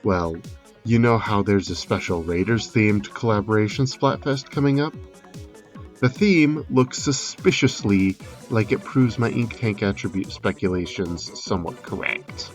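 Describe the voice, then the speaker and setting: A man giving a measured, explanatory voice-over commentary, semi-scripted, as a video creator analysing a game trailer. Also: on the low side, soft background music.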